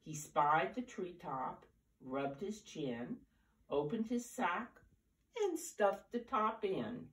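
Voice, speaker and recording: An older woman in her seventies reading a picture book aloud to preschoolers in an animated, deliberate storyteller's manner, with drawn-out emphasis. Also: on the low side. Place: living room, indoors. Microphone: camera a few feet away. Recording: roomy, distant camera microphone.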